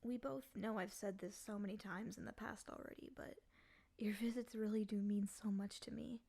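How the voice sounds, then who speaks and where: A woman voicing a lonely, clingy female demon in a soft, often whispery voice, coaxing and pleading in an intimate ASMR roleplay. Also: medium pitch, steady tone, normal speed, vocal fry. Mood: wistful, anxious.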